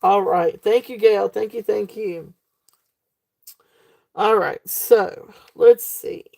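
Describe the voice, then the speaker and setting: Woman, live sale host, mellow gravelly voice going hoarse, chatty with plenty of fillers. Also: medium pitch, slow. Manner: chatty, fillers, reads comments aloud. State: losing her voice. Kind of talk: live auction selling chat.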